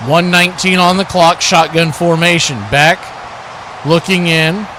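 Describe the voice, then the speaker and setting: High-pitched man calling football, noisy background. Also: a little slow. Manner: clipped running description, rising to excited calls on action. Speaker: play-by-play sports commentator, watch party host. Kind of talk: live American football play-by-play commentary.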